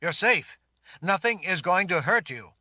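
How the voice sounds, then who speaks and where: A man's voice: sits high, animated, unhurried, bright, forceful tone, no room sound, studio quality, voice pushed up, full character voice. A man voicing a protective lord who speaks in a firm, insistent voice.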